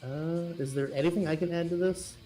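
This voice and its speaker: A man's voice: a little high, fairly even intonation, a little fast, mellow, rough recording. A man chatting informally as a player in an online tabletop game. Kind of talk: casual chat during an online game. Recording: music playing behind the voice. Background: music.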